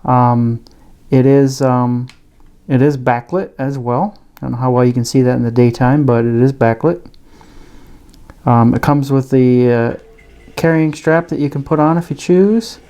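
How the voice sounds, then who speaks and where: A man talks through a gadget review in a soft, mellow voice with a rounded tone. He explains each feature plainly and casually, taking his time. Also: mid-range voice, steady tone, unhurried, clean tone. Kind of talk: casual product demonstration.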